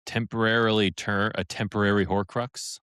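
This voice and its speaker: A man's voice: fairly low pitch, flat delivery, moderate pace, a bit bright, clear, resonant tone, dry recording, clean studio sound. A man co-hosting a casual fan podcast, laid-back and joking, with lots of "like". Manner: laid-back, fills with "like" and jokes. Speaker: podcast co-host.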